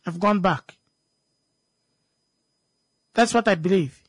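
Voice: medium pitch, slow. This is a speaker with a Namibian accent and a native local language, in an unscripted discussion. The delivery is emphatic, with phrases repeated for stress, and the sound is dry with no room echo.

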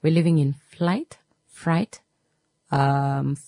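A woman's voice: low voice, extremely slow, mellow, no room sound, studio quality. A woman, hosting a reflective motivational talk and taking calls, often checking in with "right?". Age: 30s.

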